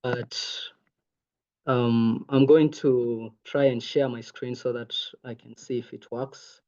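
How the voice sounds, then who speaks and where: A man's voice: medium pitch, fairly even intonation, slow, dark tone. A young man from Kenya whose first language is Maasai, giving an earnest online presentation over a video call with many ums. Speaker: intern presenter. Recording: muffled video call audio.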